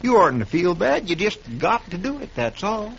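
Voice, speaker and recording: A loyal frontier deputy type, earnest and deferential. His voice is on the higher side and his speech is folksy, on a muffled old radio western recording. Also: big pitch swings, moderate pace, creaky, fairly bright tone, slightly rough, heavily acted. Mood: consoling. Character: earnest, loyal frontier marshal's helper, a grown man. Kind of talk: 1950s radio western acting.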